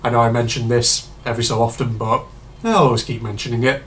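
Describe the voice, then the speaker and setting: A man chats his way through a reaction video, meandering and full of fillers. His voice sits at an ordinary middle pitch and sounds a touch hoarse and congested from a cold. A faint hum of noise sits behind him. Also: flat delivery, quick pace, a bit bright. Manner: rambling, chatty, many fillers and asides. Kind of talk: casual reaction commentary.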